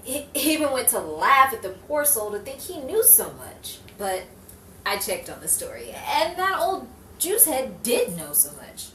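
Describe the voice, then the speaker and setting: A woman's voice: high-pitched, very animated, unhurried, a bit bright, full character voice. A woman playing a plucky, wry 1940s newspaper reporter in a noir radio play, with theatrical, musing delivery. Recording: echoey, distant, noisy room recording.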